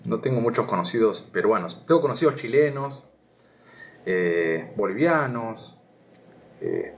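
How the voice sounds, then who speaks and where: An Argentine man with a Rioplatense accent, reading philosophy aloud on a live stream and chatting with viewers, thinking aloud with many hesitations. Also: medium pitch. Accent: Argentine Rioplatense Spanish. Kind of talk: casual live reading and chat.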